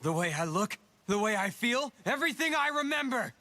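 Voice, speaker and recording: A man voicing an earnest, brooding teenage boy in a video game cutscene, speaking in a halting, dramatic way. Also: high voice, big pitch swings, full character voice. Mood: bitter, anguished. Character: earnest teenage boy hero, guarded and brooding, and his bitter double. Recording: loud game music behind the voice.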